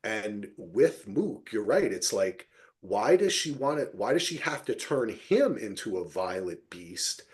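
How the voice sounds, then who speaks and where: A man hosting a podcast, chatting casually about television in a rambling, animated way and swearing freely. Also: on the low side, measured pace. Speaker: podcast host. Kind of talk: casual TV recap discussion.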